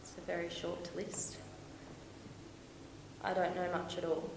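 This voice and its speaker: A woman performing a stage monologue as a young, romantic, homesick backpacker, confiding and expressive with dramatic pauses. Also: medium pitch, lightly acted. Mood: homesick, fragile. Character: young Australian woman backpacker, dreamy, lovestruck, heartbroken, homesick. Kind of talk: theatrical stage monologue, storytelling to an audience. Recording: echoey room with faint noise.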